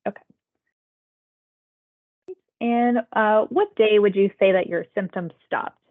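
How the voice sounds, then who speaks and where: A woman conducts a health survey over what sounds like a narrow phone line. Her voice sits at a medium pitch. She moves through scripted questions politely and conversationally, acknowledging answers as she goes. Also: slow pace. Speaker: public health phone interviewer. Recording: tinny phone-like audio.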